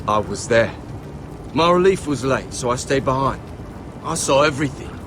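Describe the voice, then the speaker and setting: An actor playing a lowly young watchman in a game drama, insistent and earnest as he speaks up. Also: expressive, normal speed, background noise, close to an everyday voice.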